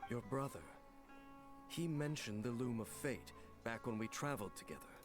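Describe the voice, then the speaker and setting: An actor playing a grave, stoic, age-old warrior who speaks in a measured, formal way in a video game. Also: male voice, mid-range voice, lively intonation, music in the background, close to an everyday voice. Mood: solemn. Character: grave, ancient warrior man, stoic and reserved. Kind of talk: anime-style video game voice acting.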